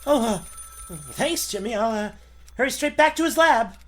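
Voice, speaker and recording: A man's voice: extremely high pitch, expressive, moderate pace, fairly bright tone, slight background noise. A man voicing a mild-mannered reporter, hesitant and stammering, in the style of a 1940s radio serial. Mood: flustered. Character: meek, flustered, mild-mannered male reporter.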